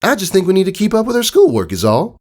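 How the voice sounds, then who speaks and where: A bossy little filly, played by a man in a storybook reading, lifted very high into a girlish register. The manner is cagey and coaxing, with lively jumps in pitch. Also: fast talker, exaggerated voice. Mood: evasive. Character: evasive, slightly bossy young girl pony. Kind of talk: audiobook character voice.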